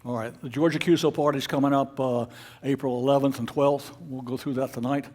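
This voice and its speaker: A man leading a club meeting, giving informal announcements in a halting, unscripted way. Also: mid-range voice, fairly even intonation, a little mellow. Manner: halting, hesitant, unscripted delivery. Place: club meeting room with an audience.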